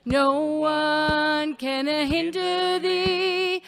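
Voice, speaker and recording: A woman's voice: high voice, little pitch movement, very slow, gravelly, fairly bright tone, soft background music. A woman leading a spiritual in song on a church stage. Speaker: song leader. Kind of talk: spiritual singing with acoustic guitar. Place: church hall stage before seated audience. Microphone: stand microphone. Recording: live reverberant hall.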